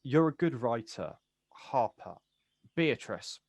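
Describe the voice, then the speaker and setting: A man's voice: medium pitch, very animated, takes it slowly, dry recording, heavily acted. An actor plays a wry, kind but guarded male poet in an intimate stage drama. His mid-range voice is questioning and hesitant, stumbling over first words when unsure. The sound is dry, with little room. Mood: warm, wry. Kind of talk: stage play acting, quiet two-hander.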